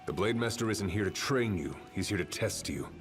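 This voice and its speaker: Man voicing a veteran warrior, low voice, dry sardonic lines, music behind. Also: animated, a little fast, lightly acted. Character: weary, dry-witted veteran male warrior. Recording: game audio with music.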